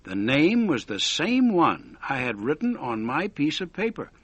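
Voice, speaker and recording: A man's voice: fairly high pitch, big pitch swings, a little slow, fairly bright tone, husky, no echo. A man narrating a scripted story for English learners, with dramatic, careful phrasing.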